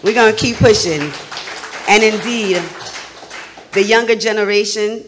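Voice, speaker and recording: A woman's voice: mid-range voice, a little slow, a little hiss or hum. A middle-aged woman speaking as an emcee, conversational and addressing the audience directly at a podium microphone. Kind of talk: informal emcee remarks. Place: event hall stage at a podium.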